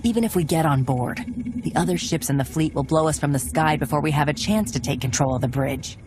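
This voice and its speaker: Woman doing a determined war adviser lady, video game, low and measured, music in the back. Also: lightly acted. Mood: serious, determined. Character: serious, determined female military adviser. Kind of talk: scripted video game acting. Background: background music.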